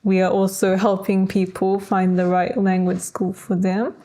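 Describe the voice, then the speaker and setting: A young woman from New Zealand with a Kiwi accent, co-hosting a casual podcast in a calm way, often laughing and humming along. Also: medium pitch, normal speed. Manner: calm, laughs often, short agreeing hums. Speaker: podcast co-host. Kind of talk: casual chat.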